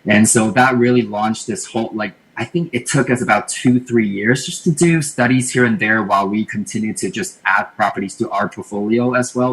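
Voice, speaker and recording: Low-voiced man explaining at length. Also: fairly bright tone, poor audio. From Taiwan. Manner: thoughtful, explanatory, repeats words while thinking. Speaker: podcast guest. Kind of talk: casual interview talk.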